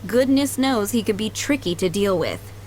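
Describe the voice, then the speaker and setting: Lively, motherly head maid. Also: medium pitch, takes it slowly, slight background noise, rough recording. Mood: fondly reminiscing. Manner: polite, doting, gently chatty. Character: kindly motherly head maid, fondly remembering boys she raised. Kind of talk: video game character acting. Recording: background music under the voice.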